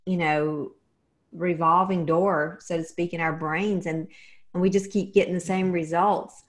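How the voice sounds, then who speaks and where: A woman's voice: on the low side, steady tone, a little slow. A woman host interviewing a guest, talking in an enthusiastic, emphatic way and stretching out key words. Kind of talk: conversational interview.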